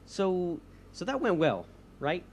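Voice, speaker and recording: A man's voice: high voice, very animated, slow pace, very noisy, exaggerated voice. A man playing an insecure young superhero leader, hesitant and earnest, in naturalistic audio drama dialogue. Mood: nervous, insecure. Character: insecure young male superhero leading a movement.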